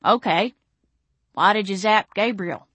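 A woman's voice: medium pitch, unhurried, fairly bright tone, slightly rough, dry recording. Woman putting on a cheeky, laid-back beer-drinking guy. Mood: gleeful, curious. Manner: casual, cheeky, encouraging. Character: laid-back, mischievous beer-drinking man, folksy boyfriend.

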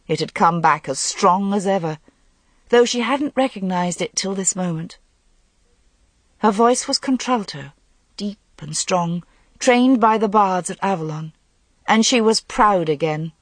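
A woman's voice: medium pitch, no echo. A woman reading a literary legend as an audiobook narrator, in scripted storytelling prose.

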